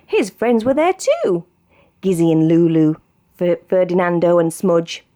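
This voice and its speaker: British woman reading a children's story, very animated. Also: fairly low pitch, a little slow. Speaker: storybook reader. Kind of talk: expressive children's read-aloud narration.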